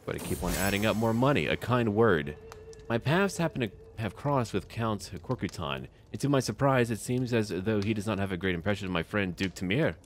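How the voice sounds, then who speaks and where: A man's voice: fairly low pitch, animated, a little fast, a little hiss or hum. A man giving casual commentary on his strategy game playthrough, talking to himself and to the characters in short clipped remarks. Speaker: gaming video creator narrating his own playthrough.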